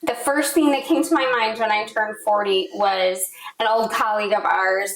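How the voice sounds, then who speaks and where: A woman in her forties co-hosting a financial podcast, chatting quickly and finishing others' sentences. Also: medium pitch, steady tone. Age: 40s. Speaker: financial advisor, podcast co-host. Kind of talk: casual podcast discussion. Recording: echoey room.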